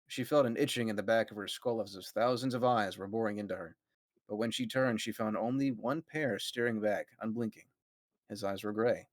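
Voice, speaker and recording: A man's voice: lowish voice, a little fast, no room sound. A man reading a story aloud as narrator for a group, with amused asides to friends.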